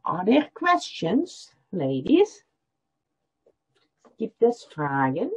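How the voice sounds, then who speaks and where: Patient woman demonstrating a craft on a video call; slow, expressive delivery, dull audio. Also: mid-range voice, husky. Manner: explains step by step, repeating lines in German. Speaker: felting teacher. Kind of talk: online workshop demonstration.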